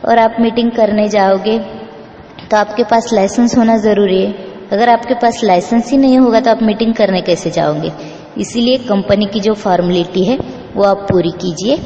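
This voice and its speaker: A woman's voice: mid-range voice, moderate pace, warm tone. A woman recruiter delivering a scripted explanatory message in a firm, instructing manner. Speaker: recruiter reading a job pitch. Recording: echoey, reverberant, muffled, noisy playback.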